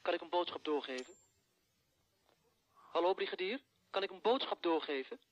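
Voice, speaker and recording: A man's voice: high voice, animated, normal speed. An actor in a radio play playing a polite, formal police switchboard operator, heard through a telephone. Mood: brisk, formal. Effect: telephone filter. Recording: dull telephone line sound.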